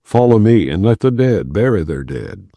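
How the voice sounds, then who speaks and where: A man reading the lines of a grave male teacher who speaks with authority, in a measured, solemn way. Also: fairly low pitch, sing-song, gravelly, warm tone, no room sound, exaggerated voice.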